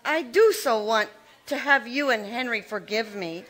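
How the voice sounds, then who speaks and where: An actress playing a scheming spinster sister in a live recreation of a 1940s radio mystery, putting on a halting, stammering show of distress. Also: female voice, on the high side, expressive, a bit bright. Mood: pleading.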